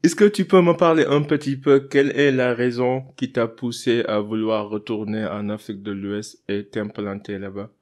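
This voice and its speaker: A man hosting a podcast, chatting in a relaxed way with frequent fillers and laughs. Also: mid-range voice, moderate pace, few pauses. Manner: relaxed, laughs often, many fillers and restarts. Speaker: podcast host. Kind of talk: casual interview.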